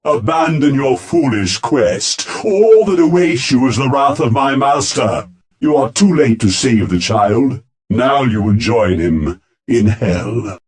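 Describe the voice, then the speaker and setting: An actor playing a scornful, menacing corrupted priest who serves a demon, in a fantasy game. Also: male voice, mid-range voice, lively intonation, slow. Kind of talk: dramatic fantasy game villain acting. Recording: heavy noise around the voice.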